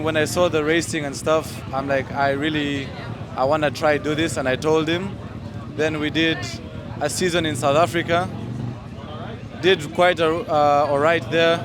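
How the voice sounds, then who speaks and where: A young man from Uganda, a racer giving easygoing answers in an outdoor press day interview. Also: a little high. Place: outdoors at a race track. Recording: outdoor crowd noise.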